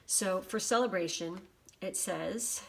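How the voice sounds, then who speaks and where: A woman with a slightly worn, hoarse voice giving a casual general oracle card reading, swearing freely. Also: medium pitch, slow pace. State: voice going hoarse. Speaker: tarot reader.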